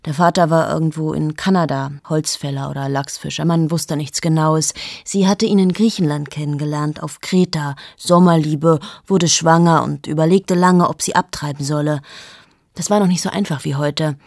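A husky, cynical journalist in her thirties. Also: low-pitched, steady tone, moderate pace, a little mellow, no room sound, clean studio sound. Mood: sardonic. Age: 30s. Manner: sardonic, confiding asides to the listener. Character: cynical 38-year-old woman journalist, sharp-tongued, mocking. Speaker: journalist in a radio play monologue. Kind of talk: radio drama monologue.

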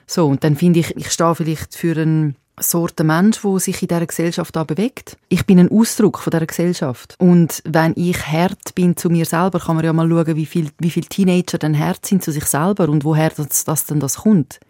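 A woman's voice: sits low, fairly even intonation, moderate pace, few pauses, dark tone, dry recording, studio quality. A woman in her late thirties with a Swiss German accent, a native Serbo-Croatian speaker, talking thoughtfully about her writing with frequent restarts. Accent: Swiss German, Zurich/Winterthur. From Switzerland. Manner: thoughtful, self-questioning with restarts. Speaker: author interviewed. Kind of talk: reflective casual talk.

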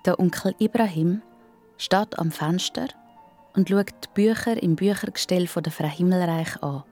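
A woman's voice: on the low side, normal speed, a little mellow, soft background music. A woman with a Swiss German accent narrating a scripted story for children.

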